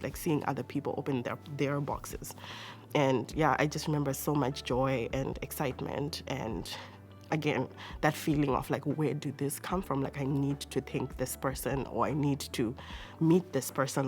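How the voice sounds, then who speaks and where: A young woman with a Zimbabwean accent giving a personal testimony in a hesitant, reflective, conversational way. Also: rumbling low. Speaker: interview guest. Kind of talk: personal testimony, casual storytelling.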